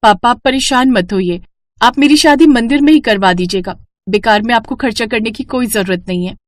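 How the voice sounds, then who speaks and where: A woman voicing a gentle, earnest young village daughter in a cartoon story. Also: fairly high pitch, brisk, no room sound, close to an everyday voice. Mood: reassuring.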